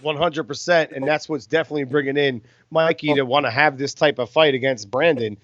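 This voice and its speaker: A man giving casual, steady boxing analysis as a podcast co-host. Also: a little high, fairly quick, a little hiss or hum. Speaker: podcast co-host commentating on fights. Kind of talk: casual fight commentary. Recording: dull, dry lo-fi recording.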